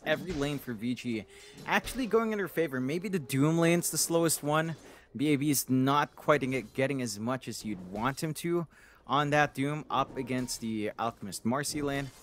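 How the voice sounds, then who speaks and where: Mid-pitched male analyst caster. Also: normal speed. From Philippines. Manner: explanatory, playful, self-deprecating jokes. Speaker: esports color commentator. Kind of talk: analytical game commentary.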